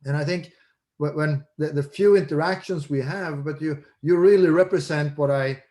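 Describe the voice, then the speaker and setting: Swedish man with a higher-pitched voice. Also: steady tone, moderate pace, dark tone. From Sweden. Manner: repeats words as he goes. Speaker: incoming president of a professional association. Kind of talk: informal speech in an online board meeting. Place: video conference call.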